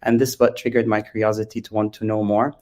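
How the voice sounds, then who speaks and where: A man from Lebanon with a Lebanese accent, explaining things as an interview guest, halting often with drawn-out ums. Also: fairly low pitch, little pitch movement, a little mellow. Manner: hesitant, halting, with frequent long ums. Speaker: interview guest, biotech company founder.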